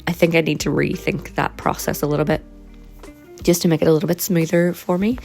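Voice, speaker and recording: Woman, low and gravelly, a little hoarse, relaxed chatty vlogger. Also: a little fast, faint music behind. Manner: relaxed and rambling, with frequent ums. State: slightly hoarse and croaky. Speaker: vlogger and sticker and journal maker. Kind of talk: casual chatty vlog.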